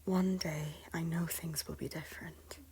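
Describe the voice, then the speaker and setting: A woman's voice: deep voice, fairly even intonation, a little slow, darkish tone, slightly rough, exaggerated voice. A woman playing a repentant former temptress, an earnest and defiant noble lady speaking formally in a theatrical audio drama. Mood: solemn, hushed. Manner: formal, archaic, impassioned speech. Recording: roomy echo, music underneath. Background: music.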